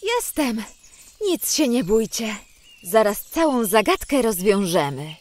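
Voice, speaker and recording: A woman's voice: fairly high pitch, slow, exaggerated voice. A woman voicing a kind, reassuring fairy in a children's audio drama. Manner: lively, reassuring. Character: kind female fairy, storyteller.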